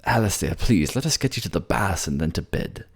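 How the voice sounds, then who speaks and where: A man's voice: sits low, animated, fairly quick, husky, pitched above the performer's own voice, exaggerated voice. A man playing a caring, anxious ice mage in a fantasy audio drama, speaking breathily and pleadingly. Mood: gentle, pleading.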